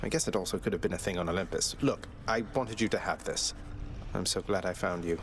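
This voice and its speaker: An actor playing an earnest young prince of the underworld in a video game, polite, understated and lightly wry. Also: male voice, low-pitched, fairly quick. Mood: tender, earnest. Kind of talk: video game character dialogue. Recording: faint music behind the voice.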